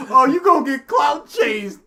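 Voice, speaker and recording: A young man co-hosting a casual reaction commentary, laughing often and breaking into loud outbursts. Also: very high voice. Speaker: co-host reacting to a video.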